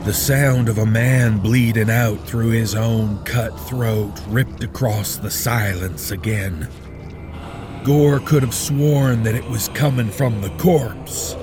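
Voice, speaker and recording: A man narrating a horror story in a hushed, low, drawn-out delivery, over eerie music.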